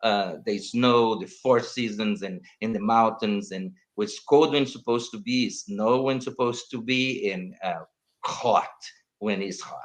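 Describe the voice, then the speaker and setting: A man's voice: lowish voice, measured pace. An older man from Brazil with a Brazilian Portuguese accent, chatting as an interview guest, rambling and restarting his sentences. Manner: rambling, restarts sentences, non-native phrasing. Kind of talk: casual conversation.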